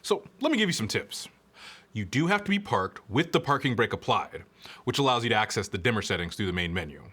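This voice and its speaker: A man's voice: on the low side, sing-song, quick pace, pushed and bright, breathy edge. A man presenting a scripted product review, explaining features in a conversational way and speaking straight to the viewer. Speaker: product reviewer and presenter for a car audio retailer.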